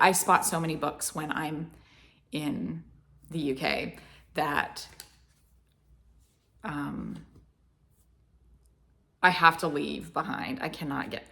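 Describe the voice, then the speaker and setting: A woman's voice: sits low, gravelly, bright, forceful tone. A woman from Florida with a light Southern accent, rambling casually to camera with many restarts. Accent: American Southern. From Florida, United States. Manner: meandering, with many restarts and fillers. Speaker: vlogger.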